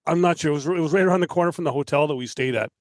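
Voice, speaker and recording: A Canadian man tells casual anecdotes as a podcast guest. His voice is fairly high and he talks extremely fast. The recording is very dry. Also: no room sound.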